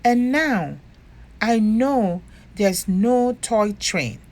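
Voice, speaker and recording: Young storybook bear, played by a woman: small high voice, plaintive, anxious, drawn-out and swooping. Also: lively intonation, a little mellow, exaggerated voice. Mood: tearful, upset. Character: young toy bear, childlike, anxious and sorry.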